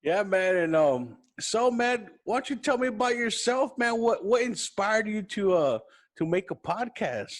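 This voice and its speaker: A man's voice: high-pitched, lively intonation, a little slow. A man hosting a podcast, laid-back and chatty, often calling his guest "man". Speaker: podcast host. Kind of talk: casual chat.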